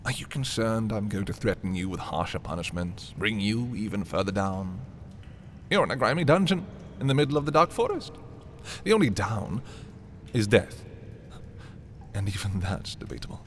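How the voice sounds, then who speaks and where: A man playing an arrogant, possessive young prince in a dark fantasy audio roleplay, haughty and theatrical in his delivery. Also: lowish voice, very animated, normal speed, slight background noise. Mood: coolly menacing. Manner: haughty, dramatic, swinging from hushed to exclaiming. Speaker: voice performer. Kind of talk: dramatic roleplay audio, ASMR fantasy monologue.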